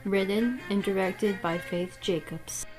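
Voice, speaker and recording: A woman's voice: mid-range voice, a little slow, music in the background. A woman narrating a scripted introduction to an audio drama in a formal, dramatic storyteller's manner. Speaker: author, director and narrator. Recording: long reverberant hall sound.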